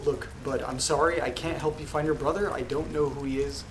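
A man hosting a horror audio drama, reading letters aloud in a quiet, flat, gravelly way. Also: on the high side, brisk. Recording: roomy echo with loud rain noise. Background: rain and thunder.

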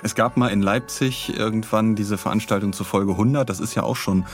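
A man's voice: lowish voice, fairly even intonation, fairly quick, soft background music. A man co-hosting a podcast, talking casually and hesitantly in meandering sentences with little tag questions. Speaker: podcast co-host and audio drama producer. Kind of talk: casual discussion.

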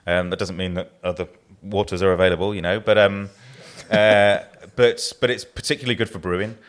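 A man's voice: low-pitched, fairly even intonation, fairly quick. A man with a southern English accent, a brewer being interviewed, who talks in a rambling way with many restarts. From southern England.

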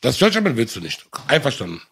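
A man's voice: medium pitch, huge pitch swings, fast talker, gravelly, a bit bright, slightly rough. A man in casual conversation, speaking passionately and often calling the listener brother. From Germany. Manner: impassioned, addresses listener as brother. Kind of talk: casual chat.

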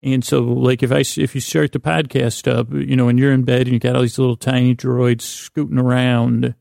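A man hosting a bedtime podcast in a creaky, gravelly voice, rambling in a lulling, halting way with frequent stammers and self-interruptions. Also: mid-range voice, flat delivery, warm tone, no echo, clean studio sound. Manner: lulling, soothing. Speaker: podcast host. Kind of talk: rambling bedtime monologue to put listeners to sleep.

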